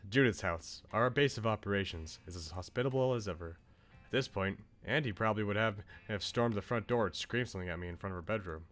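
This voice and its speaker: A man's voice: on the low side, quick pace, fairly bright tone, faint music behind. A man streaming a game, reading story narration aloud and adding casual commentary.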